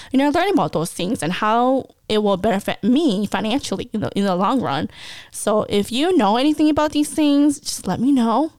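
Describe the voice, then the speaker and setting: A woman's voice: medium pitch, smooth, dry recording. A woman in her twenties talking casually as a podcast host, chatty, laughing often and restarting her sentences. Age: 20s. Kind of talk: casual personal talk.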